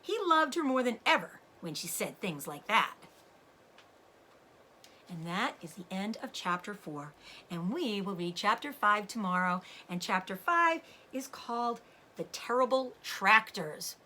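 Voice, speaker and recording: Animated woman reading a children's story aloud. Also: mid-range voice, measured pace. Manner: animated, explains words to children. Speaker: teacher-like read-aloud host.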